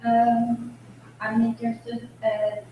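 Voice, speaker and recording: A woman teacher introducing herself in a hesitant, halting way. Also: on the high side, completely flat delivery, muffled recording, soft background music. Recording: distant mic, echoey room, noise.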